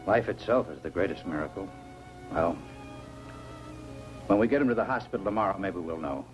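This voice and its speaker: An actor playing a calm, weary scientist doctor in a 1950s science-fiction film, with a measured, reassuring manner. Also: male voice, low-pitched, low-quality recording. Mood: gentle, reflective. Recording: 1950s film soundtrack with music.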